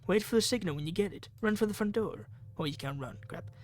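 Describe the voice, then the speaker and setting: Man as a desperate high-voiced teenage friend. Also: animated, fast, a little hiss or hum. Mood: apologetic, determined. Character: desperate, guilt-ridden teenage friend taking charge.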